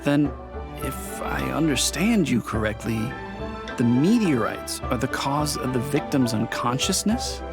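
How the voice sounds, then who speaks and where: A man's voice: medium pitch, big pitch swings, unhurried. A man playing a polite, earnest innkeeper in a video game, speaking formally and courteously with music behind him.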